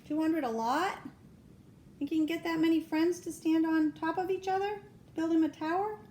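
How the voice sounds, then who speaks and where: A woman reading a children's storybook aloud as a grandmother, expressively, pausing to ask the young listener simple questions. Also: very high-pitched, darkish tone, slight background noise.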